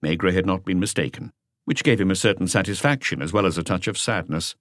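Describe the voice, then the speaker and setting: A man reading a detective story as an audiobook narrator, in a measured, unhurried way. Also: low-pitched, no echo, studio quality.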